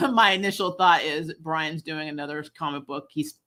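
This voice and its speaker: A woman's voice: sits low, normal speed, fairly bright tone. A woman hosting a casual film chat, talking in a blunt, sarcastic, slangy way. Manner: blunt, sarcastic, slangy, laughs through stories. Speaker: channel host reviewing films. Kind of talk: casual opinionated film discussion.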